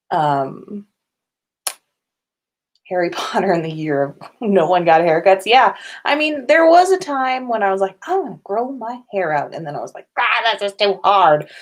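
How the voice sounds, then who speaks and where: A woman hosting a casual livestream from indoors at home, chatting informally with viewers. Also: lowish voice. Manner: informal, chatty, sprinkled with swearing and giggles. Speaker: podcast host on a livestream.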